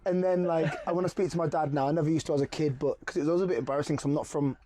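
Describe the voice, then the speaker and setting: A man talks in a relaxed podcast chat. His voice sits slightly high for a man. He is animated and keeps slipping into quick impressions of the people he describes. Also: slight background noise. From Cumbria, England. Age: adult. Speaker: guest.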